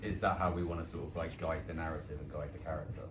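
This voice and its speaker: A man's voice: a bass voice, fairly even intonation, quick pace. A young man with a London Irish accent, an actor chatting casually and self-deprecatingly after a show. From London and Dublin. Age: young adult. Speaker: stage actor. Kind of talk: casual post-show talk. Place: small pub theatre, indoors. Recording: echoey room, distant mic, background noise.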